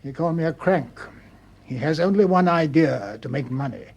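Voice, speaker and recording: An actor playing an older colonel in a radio detective drama, stiff and moralizing, with solemn, repetitive pronouncements. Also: male voice, on the high side, animated, moderate pace, creaky, a little mellow, slightly rough, exaggerated voice. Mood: grave, accusing.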